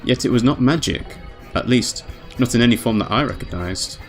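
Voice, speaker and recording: A man's voice: medium pitch, animated, fairly quick, close to an everyday voice. A man voicing a proud young witch who narrates her tale in a grave, formal, ornate way. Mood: tense narration. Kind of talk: dramatic visual novel narration. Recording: music underneath.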